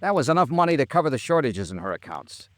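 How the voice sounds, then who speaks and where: A hard-boiled American insurance investigator in an old radio detective drama. He is terse and dogged, with a lively, swinging pitch range and a dry, close sound. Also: fast, dry recording. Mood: coolly pressing. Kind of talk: 1950s radio detective drama acting, with first-person narration.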